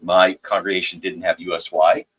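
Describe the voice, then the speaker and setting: A middle-aged man from upstate New York, a rabbi, telling his story in a measured, wry way. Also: deep voice, flat delivery, breathy edge, muffled recording. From upstate New York, USA. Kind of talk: personal storytelling.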